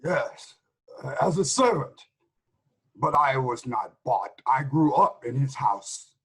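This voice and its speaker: A man playing a reluctant, evasive old shepherd in a Greek tragedy reading, with a husky voice and halting, guarded delivery. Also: medium pitch, lively intonation, a bit bright, heavily acted, rough recording.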